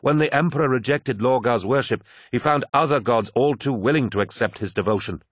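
A man voicing a sly, sneering warrior priest of dark sorcery in an audiobook, a persuasive tempter with a deliberate, insinuating manner. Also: medium pitch, breathy edge, no room sound, studio quality, pitched above the performer's own voice, natural voice. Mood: zealous, tempting.

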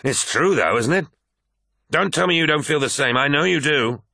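A man's voice: on the high side, animated, normal speed, vocal fry, pushed and bright, no room sound, studio quality, pitched above the performer's own voice, exaggerated voice. Man acting a raging giant warrior captain, voice raised. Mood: raging, bitter. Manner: agitated, snapping, growling. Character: huge, hot-tempered warrior captain, anguished and raging. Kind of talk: dramatic science-fiction audiobook dialogue.

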